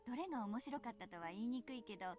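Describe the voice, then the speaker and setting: An actress playing a teasing, thoughtful middle-aged mother in a visual novel, explaining things in a coy, measured manner. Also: fairly high pitch, expressive, a little mellow, soft background music, natural voice. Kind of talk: Japanese visual novel voice acting.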